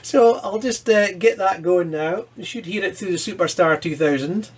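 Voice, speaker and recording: A man with a somewhat high voice gives a casual demonstration at his workbench. He talks informally, thinking aloud as he works through a repair. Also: moderate pace, breathy edge. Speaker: presenter of a hobby electronics repair video. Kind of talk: casual hands-on demonstration commentary.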